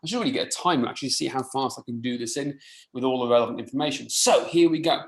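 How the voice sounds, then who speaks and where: Coach, roomy echoing sound. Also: male voice, mid-range voice, very fast. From rural mid Wales. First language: Welsh. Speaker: fitness coach presenting a webinar. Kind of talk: informal live online presentation. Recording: roomy, echoing live webinar audio.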